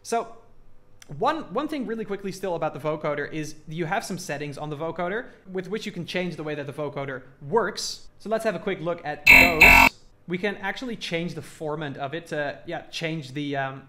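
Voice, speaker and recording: A man hosting a music production tutorial, explaining software step by step in an informal, casual way. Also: fairly high pitch, wildly swooping pitch, normal speed.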